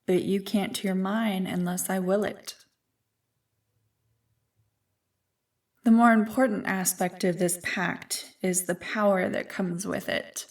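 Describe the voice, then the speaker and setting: A woman playing an ancient evil female spirit in an ASMR roleplay, seductive, smug and condescending in a deliberate, teasing manner. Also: medium pitch, fairly even intonation, distant mic, lightly acted. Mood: intimate, menacing.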